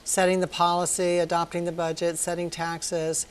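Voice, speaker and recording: A woman from Northern Virginia working as a city manager, giving articulate, measured answers in a TV interview with occasional ums. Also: fairly low pitch, flat delivery. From Northern Virginia, USA. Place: TV studio.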